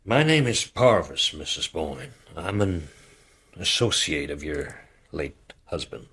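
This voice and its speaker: An actor playing a formal businessman in a radio play, measured and courteous but politely insistent. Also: extremely low pitch, sing-song, fairly bright tone, husky, heavily acted. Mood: polite, probing.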